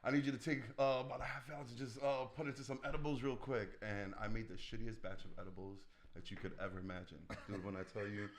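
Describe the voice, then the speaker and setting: A man who is a guest on a podcast, with a voice that booms and pops in the room, telling stories in laid-back slang. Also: little pitch movement, fast talker, few pauses. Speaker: guest, edibles business owner. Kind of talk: casual podcast interview.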